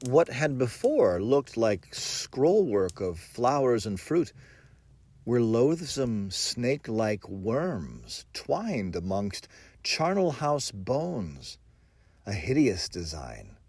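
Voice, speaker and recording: A man narrating a first-person gothic horror story in a measured, theatrical, expressive manner. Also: medium pitch, dry recording. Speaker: audiobook narrator. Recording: music under the voice. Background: music.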